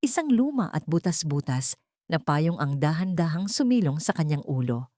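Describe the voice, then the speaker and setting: A woman with a fairly low voice narrates a scripted drama. Her delivery is theatrical storytelling, recorded close and dry like a studio voice-over. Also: big pitch swings, normal speed, warm tone, dry recording.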